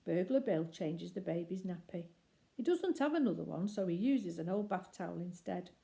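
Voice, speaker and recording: A woman reading a children's story aloud expressively, with deliberate phrasing and emphatic pauses. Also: on the low side, expressive, vocal fry, warm tone.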